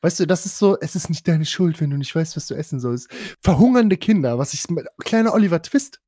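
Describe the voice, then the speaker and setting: Man, podcast host, casual chat, crude and excitable, dry close sound. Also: on the high side, brisk, no room sound. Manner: crude, excitable, swearing, mixing in English slang.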